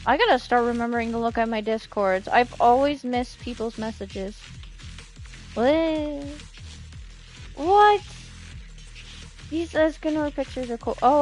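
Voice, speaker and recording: Female game streamer, high voice, unhurried pace, playful reactions, chatty, faint music behind.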